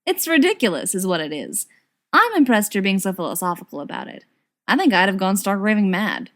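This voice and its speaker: A woman's voice: medium pitch, big pitch swings, fairly quick, a bit bright. Lady reading as a chatty young guy in a story, good-natured, a bit sly, cheerful and coaxing. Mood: amused sympathy.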